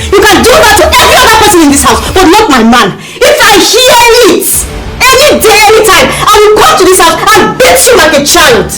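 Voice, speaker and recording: A woman's voice: very high-pitched, big pitch swings, quick pace, few pauses, poor audio. An actress with a Nigerian accent playing an indignant, defiant woman who will not back down, in a melodramatic film with music underneath. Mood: indignant, outraged. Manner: indignant, challenging, repetitive.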